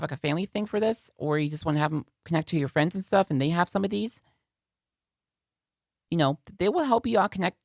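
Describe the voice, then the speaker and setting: A woman's voice: lowish voice, vocal fry, no room sound, studio quality. A woman hosting a casual product review in a conversational way, often repeating phrases for emphasis. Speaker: video host and product reviewer.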